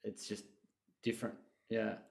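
A man's voice: fairly low pitch, monotone, measured pace, gravelly, roomy sound. A man, hosting a podcast interview, supportive and prompting his guest with short replies. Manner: supportive, frequent short backchannels. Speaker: podcast host. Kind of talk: interviewing, active listening. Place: bright studio room, indoors. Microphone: desk mic on stand.

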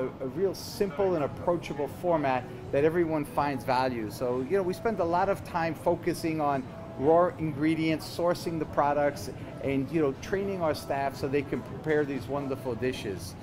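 A man in his fifties, a chef being interviewed, explaining things conversationally and haltingly, often repeating words. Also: on the high side, background noise. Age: 50s. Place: store counter indoors.

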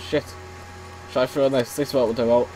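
A man commentating casually on his own gameplay, slightly hoarse as he is losing his voice, muttering reactions as he plays. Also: mid-range voice, fairly even intonation, normal speed, dark tone, slight background noise, rough recording. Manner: chatty, mutters reactions while playing. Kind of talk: casual let's-play commentary.

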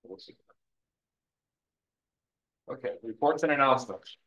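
A man chairing a city board meeting, easygoing and brisk, often repeating his words. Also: medium pitch, animated, pushed and bright. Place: council meeting room. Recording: echoey room, muffled sound.

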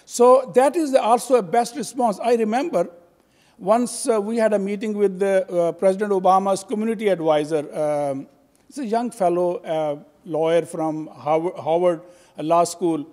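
A man's voice: sits high, normal speed, a little mellow. An older man giving a convention talk at a stand mic, hesitant and full of fillers and restarts. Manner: hesitant, many fillers and restarts, gesturing while speaking. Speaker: convention speaker. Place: convention hall. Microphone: stand mic at a podium. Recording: long hall reverb.

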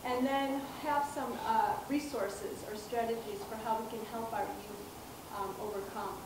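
Soft-spoken woman lecturing in an echoey hall. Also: a little high, fairly even intonation. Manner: soft-spoken, measured, with frequent ums and restarts. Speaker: professor giving a public talk. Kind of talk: lecture to an audience. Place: dark auditorium stage with projector screen. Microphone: handheld mic, recorded from a far camera. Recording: distant, echoey hall with room noise.

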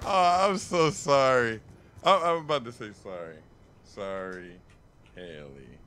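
A man's voice: medium pitch, animated, takes it slowly, fairly bright tone. A man casually chatting and teasing while playing a shooter game, laughing and swearing. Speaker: gamer streamer. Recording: game noise behind voice. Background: gunfire and game sounds.

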